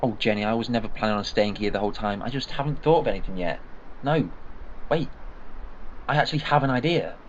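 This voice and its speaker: A man voicing a brisk, eccentric, time-travelling alien adventurer in a fan audio drama. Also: lowish voice, slight background noise, natural voice. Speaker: lead character. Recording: slightly muffled, over loud music.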